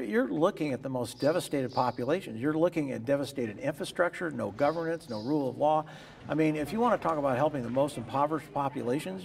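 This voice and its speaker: A man's voice: mid-range voice, gravelly, a little mellow, slightly rough. A man in his sixties answering interview questions earnestly in a farm shed, often repeating words as he starts a thought. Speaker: interviewee, farmer philanthropist. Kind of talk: sit-down interview. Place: large farm machinery shed.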